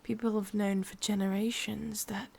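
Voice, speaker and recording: A woman with an English accent voicing an ancient, lonely, sadistic witch in a breathy half-whispered ASMR roleplay, speaking slowly with drawn-out pauses. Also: mid-range voice, flat delivery, darkish tone. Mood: probing. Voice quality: breathy, often slipping into whisper. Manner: slow, halting, drawn-out delivery with pauses.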